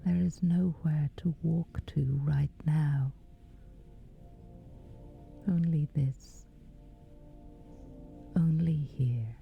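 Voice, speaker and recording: A woman guiding a sleep meditation in a hushed, breathy near-whisper, pausing between short phrases. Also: sits low, fairly even intonation, highs cut off. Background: background music.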